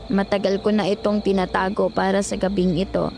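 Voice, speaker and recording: A solemn old ghost grandmother, played by a woman in a horror audio drama. She speaks gravely and deliberately at a middling pitch, over music. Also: little pitch movement, dark tone, close to an everyday voice. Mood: grave, urgent.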